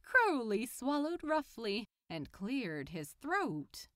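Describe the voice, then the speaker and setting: Woman, fiction narrator, unhurried, lively intonation, dry close recording. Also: fairly high pitch, dry recording. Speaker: podfic narrator.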